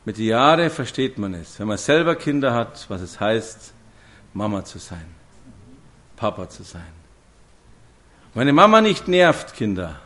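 A man's voice: lowish voice, a bit bright. A man, preaching a sermon to a congregation and telling stories with direct questions to his listeners. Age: 50s.

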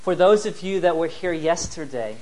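A man in his twenties, an evangelist from New Zealand, preaching in a church in short friendly phrases that pause for an interpreter. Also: a little high, animated, dark tone. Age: 20s. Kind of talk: sermon given through an interpreter.

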